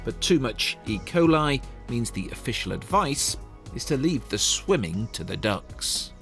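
A man's voice: mid-range voice, big pitch swings, measured pace, gravelly, a bit bright, faint music behind. A man narrating a scripted television news report as a correspondent.

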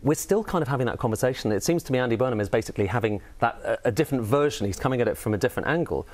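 A man's voice: medium pitch, lively intonation, very fast. A man commenting on economics in a panel debate, thinking aloud and hedging with frequent qualifiers. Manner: thoughtful, hedging, many "kind of" and "I mean".

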